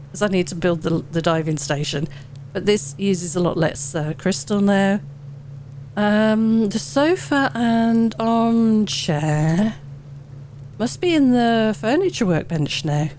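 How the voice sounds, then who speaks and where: A woman hosting a gaming channel, hoarse and wheezy from a head cold, chatting casually through update notes with lots of ums. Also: mid-range voice, a little mellow, a little hiss or hum. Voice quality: wheezy, sore-throated. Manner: chatty, rambling, with many ums. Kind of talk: casual gameplay commentary.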